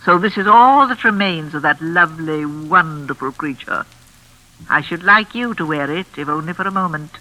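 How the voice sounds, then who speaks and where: An actress playing a deranged older mistress of a lonely house in a 1940s radio thriller, with genteel, deliberate menace. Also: female voice, low-pitched, animated, pushed and bright. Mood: eerily sweet. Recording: crackly 1940s radio broadcast.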